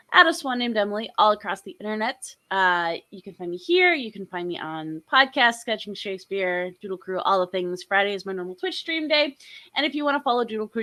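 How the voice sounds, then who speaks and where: A young woman hosts a relaxed drawing stream, bubbly and quick to laugh. Her voice is bright and swings widely in pitch, with a slightly stuffed-up, nasal sound from a cold. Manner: bubbly, chatty, lots of laughter. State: congested with a cold, a bit nasal. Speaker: host and artist. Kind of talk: casual chat while drawing on stream.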